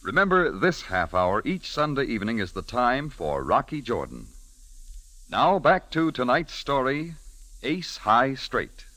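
A man's voice: medium pitch, big pitch swings, unhurried, sharp tone, no echo. An American man announcing in a polished 1940s network radio style.

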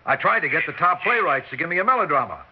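A man's voice: on the high side, expressive, fast talker, slightly rough. A man playing a brusque, self-satisfied Broadway producer in 1960s radio drama style, wisecracking and dismissive. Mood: boastful. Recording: dull vintage radio recording with hiss.

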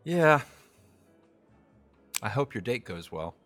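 A man's voice: mid-range voice, sing-song, slow pace, fairly bright tone, soft background music. An actor playing an earnest, quirky, self-doubting young man anxious about a date in an audio drama.

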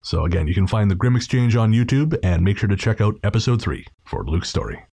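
A man's voice: on the low side, sing-song, brisk, gravelly, warm tone, husky. A man hosting a podcast, chatting casually and informally through thanks and plugs. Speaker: podcast host.

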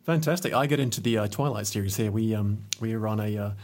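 A man hosts a casual interview show, quick in his delivery and audibly enthusiastic. His voice has a husky, slightly breathy edge, and he fills his talk with ums and friendly asides. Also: on the low side, a little mellow. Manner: enthusiastic, chatty, many fillers. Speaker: podcast host.

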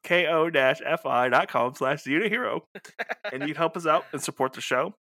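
A man with a bright, forward voice hosts a podcast in a loose, informal style. He talks casually and jokes along the way, recorded dry and close with little room sound. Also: on the high side, fairly quick, no echo. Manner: joking, informal. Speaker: podcast co-host. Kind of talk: casual banter.

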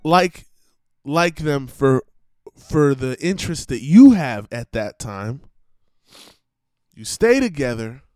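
A slightly hoarse man giving an emphatic, preachy monologue with swearing and lots of repetition. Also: fairly high pitch, darkish tone, no echo, studio quality. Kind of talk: casual monologue rant.

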